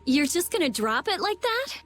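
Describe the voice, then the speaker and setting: Awkward young scholar girl: animated swings, touchy bluntness, anime game, music underneath. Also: very high-pitched, normal speed, full character voice. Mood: prickly, probing. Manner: blunt, defensive. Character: prickly, awkward young female researcher. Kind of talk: English-dubbed anime game voice acting.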